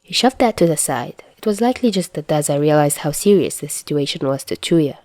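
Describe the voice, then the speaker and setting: A woman's voice: sits low, animated, a little fast, warm tone, dry recording. A woman narrating written fiction in a scripted audiobook style. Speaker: narrator reading fan fiction.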